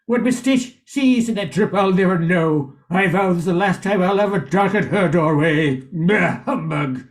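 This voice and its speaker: A man acting a swaggering, high-voiced sneering soldier. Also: normal speed, natural voice. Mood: bitter, grumbling. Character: swaggering, sneering male soldier jilted by his crush. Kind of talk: amateur radio play acting.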